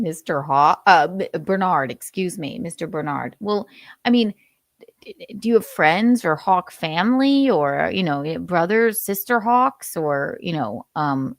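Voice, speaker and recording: A woman's voice: lowish voice, no room sound, studio quality. A woman hosting a comedic live stream, playful and mock-shocked, with lots of hesitant fillers.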